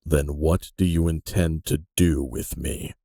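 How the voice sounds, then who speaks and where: Man acting a deep, gravelly, embittered aging detective. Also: steady tone, a little slow, mellow, no room sound, studio quality, full character voice. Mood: cold. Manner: grim, embittered, intense. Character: aging, hardened, defiant male keeper detective in a fantasy empire. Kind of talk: fantasy audio drama acting.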